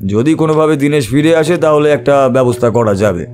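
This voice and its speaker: An actor playing a shrewd, composed male private detective in an audio drama, measured, analytical and authoritative. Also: mid-range voice, darkish tone, faint music behind, natural voice. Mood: firm, instructing.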